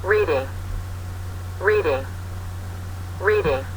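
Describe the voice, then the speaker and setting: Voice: mid-range voice, lively intonation, extremely slow, a little hiss or hum, poor audio. A textbook audio narrator reading school subjects aloud, saying each word three times in a listen-and-repeat drill.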